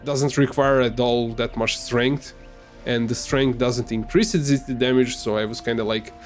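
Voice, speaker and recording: Slow-talking man with Serbian first language. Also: medium pitch, fairly even intonation, soft background music. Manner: halting, many fillers and restarts, soft laughs. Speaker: gamer. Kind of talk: casual gameplay commentary.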